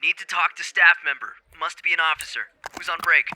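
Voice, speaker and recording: A terse, secretive male colleague on a phone call, acted by a man in a comic dub. His high voice comes in clipped, urgent phrases through clear noise and clicks. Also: fairly quick, sharp tone. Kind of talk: fandub character acting.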